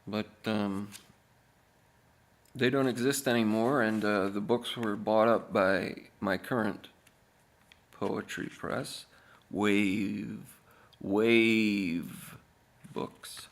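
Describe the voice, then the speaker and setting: A man reading aloud as an author, in a deadpan, measured manner with deliberate pauses. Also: lowish voice. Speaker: author reading own book.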